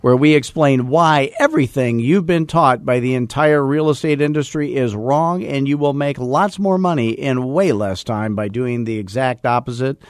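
A man's voice: mid-range voice, moderate pace, few pauses, dry recording, clean studio sound. A man hosting a podcast, with brash, teasing banter. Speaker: podcast host. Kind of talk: joking radio-style chat.

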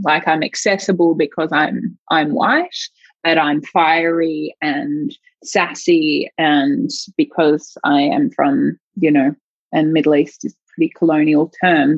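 A woman's voice: low-pitched, slightly rough, dry recording. A woman speaking as a sexologist guest in an interview, reflective and searching, thinking aloud in long winding sentences. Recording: dull lo-fi dry sound.

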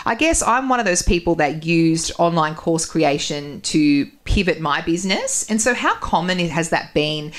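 A woman in her thirties hosting an interview and asking warm, appreciative questions. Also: low voice, expressive, moderate pace, hardly any pauses. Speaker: host. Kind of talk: conversational interview.

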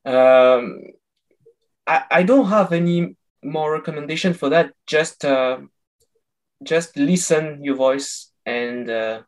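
Slow-paced man with non-native English accent. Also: on the high side. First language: not English. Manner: halting, explanatory, searching for words. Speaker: interview guest, voice coach. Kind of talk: conversational interview.

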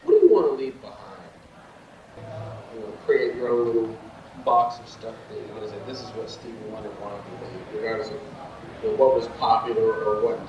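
A man's voice: lowish voice, animated, brisk, muffled recording. An American man asking interview questions conversationally, hesitantly rephrasing them as he goes. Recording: distant, echoey, noisy archival interview tape.

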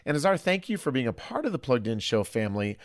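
A man's voice: mid-range voice, big pitch swings, quick pace, dry recording, clean studio sound. A man hosting a podcast in a conversational style. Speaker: podcast host.

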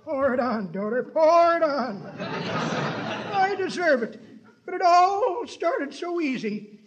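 Squeaky, very high old-timer. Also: male voice, animated, highs cut off, some chatter behind, a little hiss or hum, exaggerated voice. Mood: sheepish confessing. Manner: folksy, drawn-out, chuckling delivery. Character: sheepish old-timer, high-voiced confessing codger. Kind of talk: 1940s radio comedy acting. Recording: 1940s radio broadcast before studio audience.

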